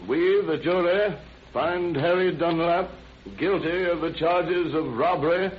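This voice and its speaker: An actor playing a formal jury foreman, slow and declamatory, in 1930s radio style. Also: a little high, close to an everyday voice. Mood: solemn. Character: formal male jury foreman reading a verdict. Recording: dull, noisy old radio recording.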